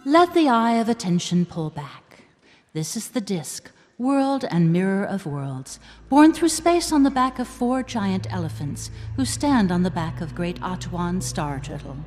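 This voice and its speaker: A woman narrating a comic fantasy radio play as a wry, grand storyteller. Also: on the low side. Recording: live stage in reverberant hall, music underneath.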